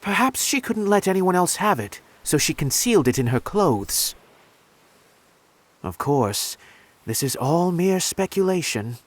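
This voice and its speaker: An actor playing a courteous, composed, analytical male detective in a video game, with formal, deliberate phrasing. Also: sits high, very animated, heavily acted.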